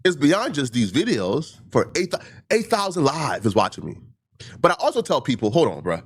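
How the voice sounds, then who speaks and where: Emphatic man who stutters, swooping pitch. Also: big pitch swings, normal speed, husky. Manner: emphatic, repeats points, rhetorical questions. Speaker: livestreamer. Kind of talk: heated monologue answering critics.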